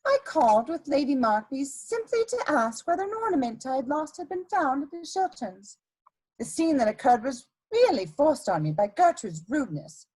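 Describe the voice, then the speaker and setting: Worldly society adventuress, woman performer, high and lilting with big pitch swings; coquettish, theatrical, scheming, for a period comedy play. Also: sing-song, a little mellow, rough recording. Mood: airy, evasive. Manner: theatrical, coquettish, drawn-out emphasis.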